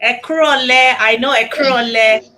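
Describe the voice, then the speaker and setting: Bright-voiced upbeat woman hosting a show. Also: fairly high pitch, fairly quick. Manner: upbeat, enthusiastic, welcoming. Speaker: talk show host. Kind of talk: live online talk show hosting.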